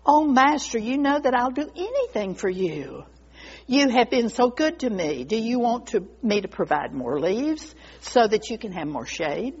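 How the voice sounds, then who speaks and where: Storybook bamboo tree woman performer: devoted, proud, earnest pleading, highish pitch, slightly muffled lo-fi sound. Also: very animated, normal speed, a little mellow, husky, long reverb tail, full character voice. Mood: eager. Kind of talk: storybook character voice. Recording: dull lo-fi recording.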